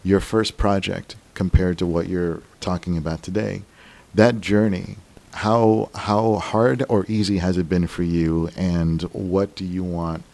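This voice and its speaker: A man's voice: low-pitched, fairly even intonation, unhurried, gravelly, a little mellow. A man co-hosting a show and interviewing a guest in a casual, conversational way.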